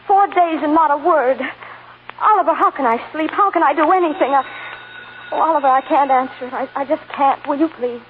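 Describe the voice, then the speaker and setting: A woman's voice: sits high, brisk, lightly acted. A woman playing an anxious, devoted young film star, tender and hesitant, in a 1940s radio drama. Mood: distraught. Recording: narrow-band noisy 1940s radio recording.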